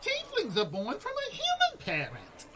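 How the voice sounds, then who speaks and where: Super high squeaky gnome, cheeky, bright sharp voice, radio comedy. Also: female voice, huge pitch swings, a little slow, full character voice. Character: small cheeky gnome sorcerer, high-pitched and excitable. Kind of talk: comedy radio play acting.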